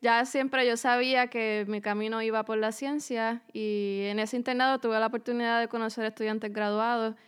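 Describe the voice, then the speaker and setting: A young woman from Puerto Rico with a Puerto Rican accent, a university student answering questions in a podcast interview, measured and pausing on many fillers. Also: a little high, steady tone, clean tone. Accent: Puerto Rican Spanish. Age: young adult.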